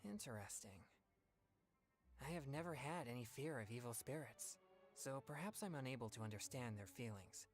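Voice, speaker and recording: A man's voice: mid-range voice, lively intonation, normal speed, faint music behind, close to an everyday voice. An actor playing an earnest, naive young male exorcist with polite, formal speech in an anime-style game.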